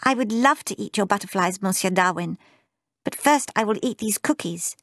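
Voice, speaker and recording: A woman's voice: mid-range voice, expressive, moderate pace, fairly bright tone. A woman voicing a brave, eager twelve-year-old girl who plays at being an explorer.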